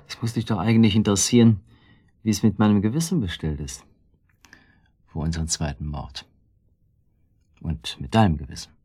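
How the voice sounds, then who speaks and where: An actor playing a guilt-worn, brooding husband in a German radio drama, speaking in a halting, bitterly ironic way with a husky, gravelly voice. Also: male voice, deep voice, warm tone. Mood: bitter, reflective.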